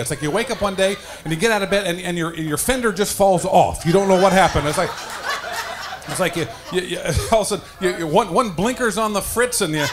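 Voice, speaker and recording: An older man doing stand-up comedy, excitable, often stammering and repeating his words, on a handheld microphone. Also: sits high. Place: small dark comedy stage indoors. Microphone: handheld stage microphone. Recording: noisy live club stage recording.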